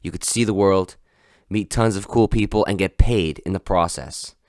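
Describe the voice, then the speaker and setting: American man, podcast host, lowish voice, dry close sound, upbeat. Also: normal speed, a little mellow, dry recording. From United States. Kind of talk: scripted intro then interview questions.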